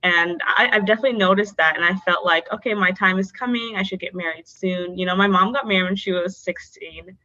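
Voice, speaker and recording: A woman's voice: medium pitch, brisk, few pauses, bright, forceful tone, highs cut off. A young woman guest on a podcast, answering openly with frequent "um" and "like". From North Dakota, born in Bangladesh. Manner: open, many fillers like um and like. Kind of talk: candid interview answers.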